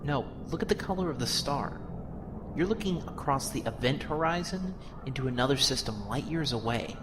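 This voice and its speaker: A man's voice: mid-range voice, lively intonation, normal speed, natural voice. A man playing a matter-of-fact, technical spaceship science officer in an audio drama. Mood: explaining. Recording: reverberant hall, noisy ship ambience.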